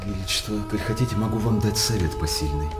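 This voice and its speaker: An actor playing a sly, envious royal counsellor in a theatrical radio play, with an insinuating, mock-deferential manner. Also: male voice, on the low side, fast talker, lightly acted. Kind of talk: theatrical verse radio drama acting. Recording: music behind the voice.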